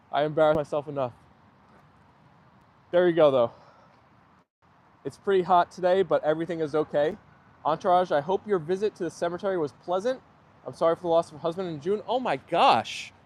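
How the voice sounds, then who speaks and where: A man's voice: a little high, darkish tone. A man hosting a casual live stream outdoors, chatting in a friendly way and answering viewer comments.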